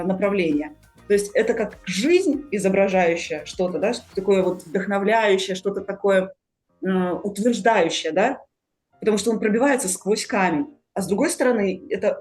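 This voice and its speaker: Female podcast host, conversational and explanatory, hesitant phrasing, average pitch, music bed beneath. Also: darkish tone. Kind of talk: casual discussion, explaining ideas.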